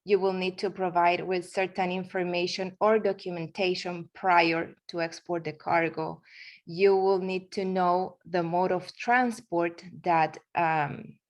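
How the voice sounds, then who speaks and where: Argentine woman in her forties, lower voice, guest speaker presenting in English, hesitant with fillers. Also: lo-fi sound. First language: Spanish. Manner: halting, frequent fillers and restarts. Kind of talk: webinar presentation.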